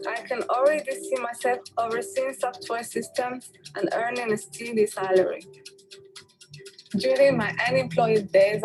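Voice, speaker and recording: A young woman from Morocco gives a scripted testimonial as a trainee. Her voice sits fairly high, with music clearly playing behind it. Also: poor audio. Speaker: job training student giving testimonial. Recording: music bed under voice-over.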